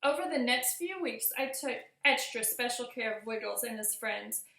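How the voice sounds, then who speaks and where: A woman's voice: a little high, expressive, normal speed, gravelly, pushed and bright. A woman reading a storybook aloud expressively for young children, in the manner of a teacher addressing listeners. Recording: roomy echo.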